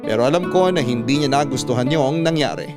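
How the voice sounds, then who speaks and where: A man's voice: mid-range voice, brisk. A man reading scripted dramatic narration for a radio story. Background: plucked guitar music.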